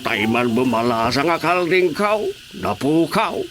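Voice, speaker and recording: A man's voice: medium pitch, lively intonation, measured pace, over loud music, natural voice. A man playing a flustered older villager with an Ilocano accent in a radio drama comedy. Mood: flustered, complaining. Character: flustered older male villager reporting missing goats. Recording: faintly noisy radio drama track.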